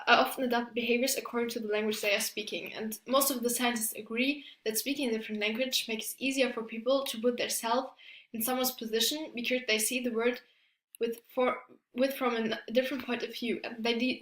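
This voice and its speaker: A woman's voice: fairly high pitch, a bit bright. A young woman giving a class presentation in English, hesitant, with many restarts and fillers and non-native phrasing. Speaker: student presenter. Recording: echoey room.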